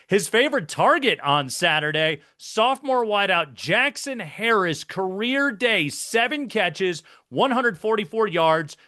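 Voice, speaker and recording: A man hosts a sports interview, introducing his guest with upbeat, polished broadcaster energy. His voice is bright and crisp and sits a little high for a man. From mainland United States. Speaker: sports show host. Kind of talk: interview hosting.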